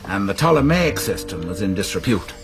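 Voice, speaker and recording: An actor playing a pedantic, easily flustered college librarian in a radio drama, speaking in a precise, donnish way. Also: male voice, on the low side, big pitch swings, a little fast, music in the background. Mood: precise, savouring. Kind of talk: British radio drama acting.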